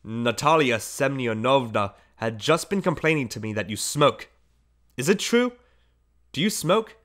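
A middle-aged father, a prosecutor by trade, scolds his son in a measured, firm voice, as a male reader plays him. He leans on his words and sounds louder than the surrounding narration. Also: mid-range voice, lively intonation. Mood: accusing.

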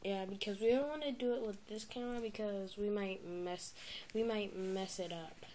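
A woman's voice: medium pitch, moderate pace, dark tone, slight background noise. A woman talking casually to viewers of her toy video, rambling and hesitant, recorded on a phone camera in a carpeted room. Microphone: phone camera near the floor.

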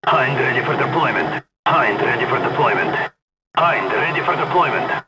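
An actor playing a stern Soviet special forces commander in a video game, with a Russian accent and clipped, commanding delivery. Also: male voice, medium pitch, lively intonation, moderate pace, very noisy, close to an everyday voice. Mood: terse, urgent. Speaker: game announcer. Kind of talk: terse scripted military game announcements.

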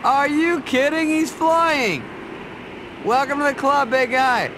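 A man's voice: extremely high pitch, takes it slowly, noisy background. A man playing a small, cocky, sarcastic dragonfly sidekick in a video game, with a mouthy, teasing manner. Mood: excited. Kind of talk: comic video game cutscene acting. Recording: music behind the voice.

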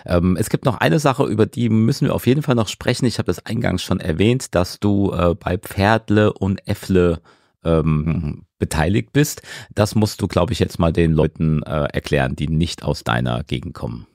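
Deep-voiced male interviewer. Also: animated, creaky, darkish tone, no echo, clean studio sound. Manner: measured, with ums and ahs. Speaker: interview host. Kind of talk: interview questions.

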